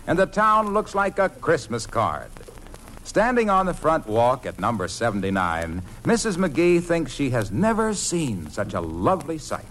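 Swooping, theatrical vintage radio announcer. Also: male voice, fairly high pitch, big pitch swings, unhurried, a bit bright. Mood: genial, scenic. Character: genial scene-setting radio show announcer. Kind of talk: 1940s radio scripted narration. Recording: noisy old radio broadcast recording.

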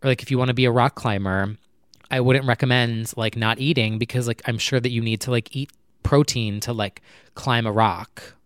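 Young guy talking low late at night, smooth clear voice, dry close sound, lots of "like"s. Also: steady tone, normal speed, dry recording. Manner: chatty, rambling, lots of "like" fillers; talking low and quietly to keep neighbors from hearing. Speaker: vlogger. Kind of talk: casual personal chat to camera. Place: apartment at night.

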